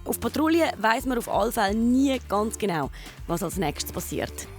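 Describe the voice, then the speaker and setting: A woman's voice: on the high side, sing-song, moderate pace, soft background music. A woman with a Swiss German accent, presenting and narrating a scripted children's documentary.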